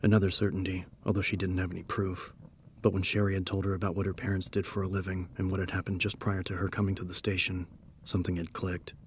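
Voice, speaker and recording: Guy reading a horror audiobook, low voice, super even monotone, serious and measured. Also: a little hiss or hum. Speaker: audiobook narrator. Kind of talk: scripted horror story narration.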